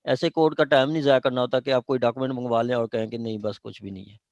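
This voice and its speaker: A man's voice: mid-range voice, steady tone, racing, darkish tone, no room sound, dull audio. Pakistani man lecturing, dry close sound. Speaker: law lecturer. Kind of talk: explanatory classroom lecture with check questions.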